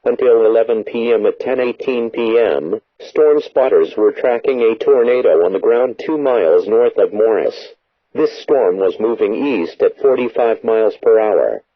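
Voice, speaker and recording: Muffled, husky male emergency alert voice. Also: on the low side, expressive, moderate pace. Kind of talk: scripted weather warning broadcast. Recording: muffled, echoey lo-fi radio broadcast.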